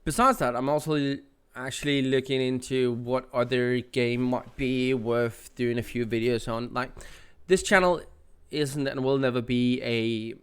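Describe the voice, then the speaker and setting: Male channel host, nasal with a cold, clear tone, loose rambling delivery full of fillers. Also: medium pitch, steady tone, measured pace. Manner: rambling, with many fillers and restarts. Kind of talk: casual channel update.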